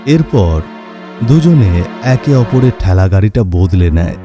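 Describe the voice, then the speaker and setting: A male narrator tells a scripted folktale. His voice sits a bit low, with clear music underneath. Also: sing-song, a little slow.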